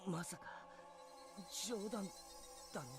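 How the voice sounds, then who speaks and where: A woman's voice: medium pitch, normal speed, natural voice. A woman playing an uneasy, sceptical teenage boy in an anime visual novel. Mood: uneasy, doubtful. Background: game music.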